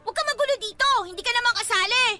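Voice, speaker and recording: A woman voicing bratty village children who taunt and shout in a radio drama. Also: very high voice, racing, over music. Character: bossy young village kids at play.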